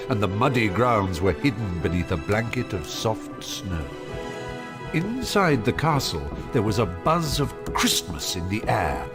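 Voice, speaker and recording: A man playing a storyteller, reading scripted narration for a fantasy video game. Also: on the low side. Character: adult male storyteller narrating a wizard school tale. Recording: music under the voice.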